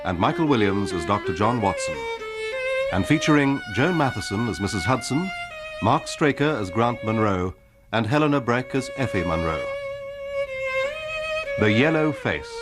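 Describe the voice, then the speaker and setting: A man's voice: fairly low pitch, lively intonation, moderate pace, long pauses. A man announcing the titles of a radio drama in a scripted style. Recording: loud violin music behind. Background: loud string music.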